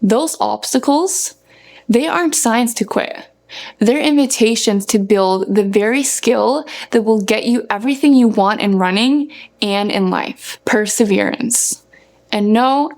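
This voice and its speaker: A woman's voice: on the high side, a little mellow. A young woman from Norway gives a scripted motivational talk to viewers as a running coach, earnest and emphatic in her phrasing. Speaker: running coach and content creator.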